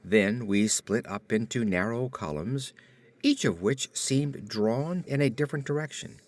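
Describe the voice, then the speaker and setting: A man reading a horror story as an audiobook narrator, with theatrical, deliberate phrasing. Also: medium pitch.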